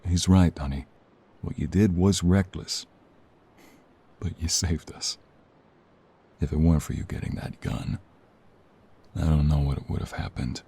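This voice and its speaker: A man plays a protective mobster for an audio roleplay. He is grave and weary, with a very low, dark voice. He speaks slowly and huskily, as someone devoted to his own. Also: vocal fry, breathy edge, full character voice.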